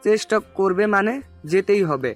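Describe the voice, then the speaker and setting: Higher-pitched kindly father played by a man. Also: lively intonation, normal speed, soft background music. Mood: welcoming. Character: kindly, welcoming middle-aged father.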